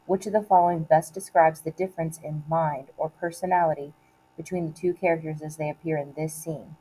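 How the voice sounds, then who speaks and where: A woman reading aloud like a teacher giving a test, in a measured, deliberate way with short, separated phrases. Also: sits low, warm tone, rough recording. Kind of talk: read-aloud of multiple-choice questions and quoted play lines.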